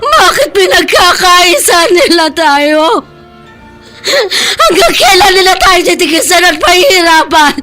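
A woman's voice: extremely high pitch, big pitch swings, a little slow, bright, forceful tone, soft background music, heavily acted. An actress playing a crying, desperate young woman pleading about her love in a radio drama. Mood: tearful. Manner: pleading, tearful.

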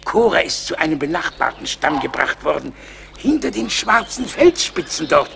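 Pompous, pious oddball psalmist, high creaky voice, florid preacherly radio drama. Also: male voice, sing-song, moderate pace, pushed and bright, a little hiss or hum, heavily acted. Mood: earnest. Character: pious, pompous psalm-singing teacher, eccentric and harmless. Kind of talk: German radio play acting.

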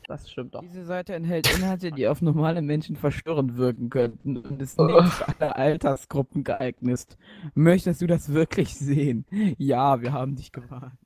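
A man with a slightly high voice bantering casually on a stream. The sound is very dry, with no room to it. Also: moderate pace, darkish tone, no room sound.

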